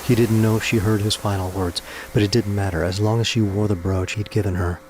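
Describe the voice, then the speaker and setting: A man's voice: lowish voice, steady tone, a little fast, noisy background. A man delivering scripted, dramatic story narration for a science fiction audio drama.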